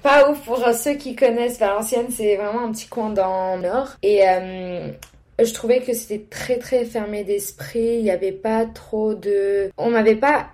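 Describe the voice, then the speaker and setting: Hesitant young woman, mellow voice. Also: mid-range voice, a little slow. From Pakistan, raised in London. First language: English. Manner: hesitant, many fillers and restarts. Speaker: podcast guest. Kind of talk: casual interview.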